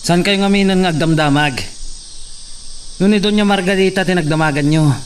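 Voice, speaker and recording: A man's voice: high voice, fairly quick, natural voice. A man playing a troubled, weary young man in a radio drama, brooding and grumbling. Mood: weary, irritated. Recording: faint background noise.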